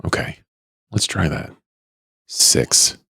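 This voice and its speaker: A man playing a tabletop roleplaying game, casually stating what he does in a measured, understated way. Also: bright, forceful tone, no room sound, clean studio sound.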